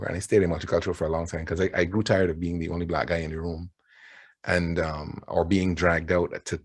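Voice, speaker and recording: Low-voiced Barbadian man telling his story. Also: breathy edge. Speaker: panelist, advertising creative. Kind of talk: conversational personal storytelling on a panel.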